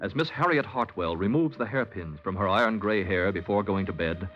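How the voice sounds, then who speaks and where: An actor as a solemn, punchy announcer narrating a 1940s radio crime drama with dramatic delivery. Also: male voice, on the low side, brisk, lightly acted. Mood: suspenseful. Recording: muffled vintage radio recording with music.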